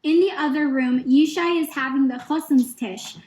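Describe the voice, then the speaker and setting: A woman narrating an amateur comedy skit in a wry, deadpan way. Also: high-pitched, moderate pace. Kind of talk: scripted narration. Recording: echoey room.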